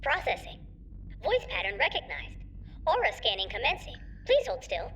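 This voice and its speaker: A woman's voice: fairly high pitch, wildly swooping pitch, moderate pace, fairly bright tone. A woman voicing an ancient computer that makes formal, clipped announcements in a science-fantasy audio drama. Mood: procedural. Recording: distant sound, faint background noise.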